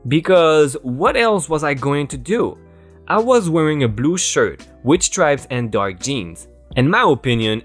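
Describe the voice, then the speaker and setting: A man narrating Reddit stories aloud in a lively, sing-song reading voice. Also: mid-range voice. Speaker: story narrator.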